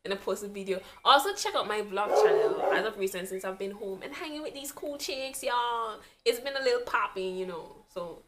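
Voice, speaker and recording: A woman's voice: mid-range voice, expressive, fairly quick, hardly any pauses, a loud crowd. A young woman hosting a casual vlog at home, playful and laughing often as she chats. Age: young adult. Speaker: vlogger host. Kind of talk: casual chat trying out accents. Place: small indoor room at home.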